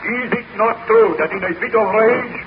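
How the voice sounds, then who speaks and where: An actor playing a stern, blunt village burgomaster, in 1930s radio drama style. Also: male voice, high voice, moderate pace, close to an everyday voice. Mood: hectoring. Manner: blunt, accusatory, formal. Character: stern, accusing village burgomaster questioning a suspect. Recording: noisy, dull 1930s radio recording.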